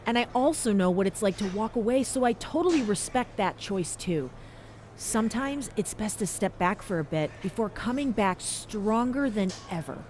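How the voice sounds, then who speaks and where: A woman's voice: medium pitch, animated, moderate pace, darkish tone, slight background noise, natural voice. A woman voicing a supportive, experienced wrestling veteran in a video game cutscene. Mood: caring, earnest.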